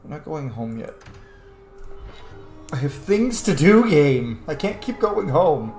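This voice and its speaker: A man's voice: a little high, very animated, takes it slowly, darkish tone, faint music behind. A man giving casual let's play commentary on a video game, chatty and sweary with frequent asides. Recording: echoey room with faint background noise.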